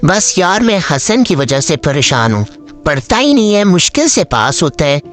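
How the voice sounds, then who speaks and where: A man's voice: a little high, very animated, normal speed, a bit bright, soft background music. A man playing a concerned middle-aged father, strict yet indulgent, in a children's moral story. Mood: worried.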